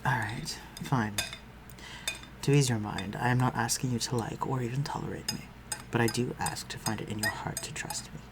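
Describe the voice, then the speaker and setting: A man playing an aristocratic vampire master in a scripted audio roleplay, speaking formally and with measured, condescending concern for the listener. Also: medium pitch, steady tone, noisy background, close to an everyday voice. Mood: reassuring, protective. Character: aristocratic male vampire master, civil, protective, coolly superior.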